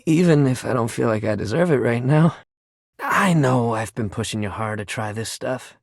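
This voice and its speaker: An eager gym bro from a video game, voice swings all over, super dry close recording, casual slangy coach talk. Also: male voice, medium pitch, animated, normal speed, vocal fry, dry recording. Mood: apologetic, deflated. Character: upbeat, eager sports-loving gym buddy, anxious to please. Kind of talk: animated video game voice acting.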